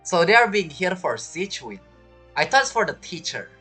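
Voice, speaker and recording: A woman's voice: fairly low pitch, sing-song, brisk, a bit bright, husky, soft background music. A woman giving casual, teasing commentary as she reacts to a game. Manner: joking, teasing. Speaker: game streamer.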